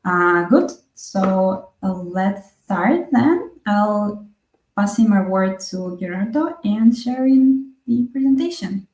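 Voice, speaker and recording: A woman's voice: medium pitch, animated, slow pace, a little mellow. A woman hosting an online event and introducing the speaker and agenda. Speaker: webinar host. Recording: roomy, echoing online call.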